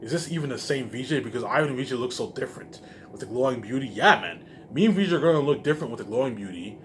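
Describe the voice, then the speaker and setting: A man rambling casually to viewers in an emphatic, repetitive, profane way, leaning on the same verbal tags. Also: medium pitch. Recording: faint laundry-machine background noise.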